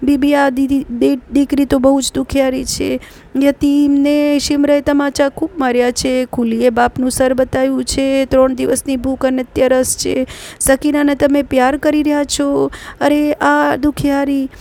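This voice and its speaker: Anguished mourning daughter, pleading, high and flat, read by a woman. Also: normal speed. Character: anguished grieving daughter pleading with her mother.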